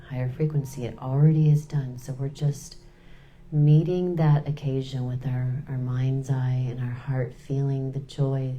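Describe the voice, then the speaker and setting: A woman leading a guided meditation in a soothing, unhurried way, pausing between short phrases. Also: extremely low pitch, mellow.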